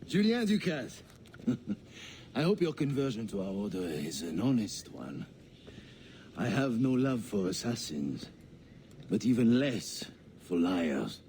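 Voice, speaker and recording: A man playing a boastful, suspicious French mercenary in a game, speaking with a French accent in a deliberate, mocking way. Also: lowish voice, sing-song, mellow, a little hiss or hum, full character voice. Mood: wary. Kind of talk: dramatic game acting.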